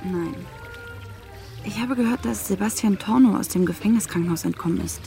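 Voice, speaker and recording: A formal, inquisitive gentleman. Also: high voice, normal speed, gravelly, faint music behind. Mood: polite curiosity. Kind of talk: naturalistic German radio drama acting.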